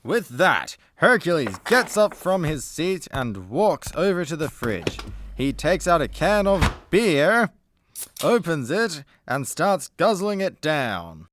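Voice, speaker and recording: Casting: male storyteller for scripted audio drama, slow and expressive, over music. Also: on the high side, slight background noise. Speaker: narrator. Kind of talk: scripted radio play narration. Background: music behind the voice.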